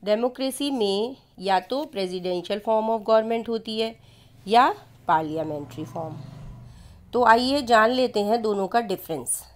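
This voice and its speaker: A woman with an Indian accent explaining civics points one by one in a steady, explanatory voice-over for a slide lesson. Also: mid-range voice, a little slow. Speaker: teacher.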